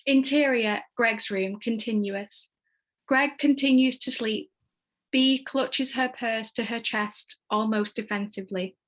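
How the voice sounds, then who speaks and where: A woman's voice: a little high, a little slow, fairly bright tone. A woman reading stage directions as narrator in a scripted table read.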